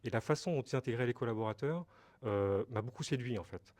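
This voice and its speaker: A man's voice: mid-range voice, brisk, a little mellow. A middle-aged man from Brittany, France, answering interview questions as a company director, explaining hesitantly with many fillers and repeated words.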